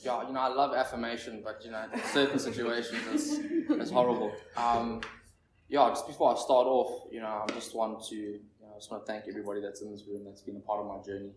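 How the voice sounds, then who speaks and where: A man's voice: medium pitch, fairly even intonation. Nervous young man in an echoey room. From Zimbabwe. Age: 20s. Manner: halting, rambling, heavy on fillers. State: nervous, shaky. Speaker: speaker at a recovery meeting. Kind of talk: personal recovery share. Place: meeting room with an audience. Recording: echoey room, distant mic, faint noise.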